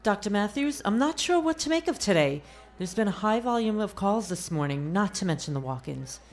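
A woman's voice: mid-range voice, very animated, a little fast, soft background music. A woman playing an efficient, dutiful longtime nurse in a radio play, businesslike and deferential. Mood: dutiful, brisk. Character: efficient, dutiful female nurse of many years.

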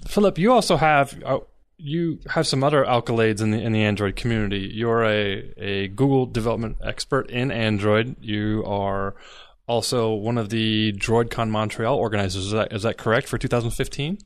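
A man's voice: on the low side, normal speed, dry recording, studio quality. A man co-hosting a casual podcast interview, upbeat as he asks the guest questions. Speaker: podcast co-host.

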